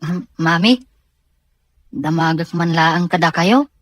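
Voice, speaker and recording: A woman's voice: lowish voice, slow pace, dry recording. A woman playing an earnest, inquisitive young son in a radio-style family drama, questioning and respectful. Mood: hesitant.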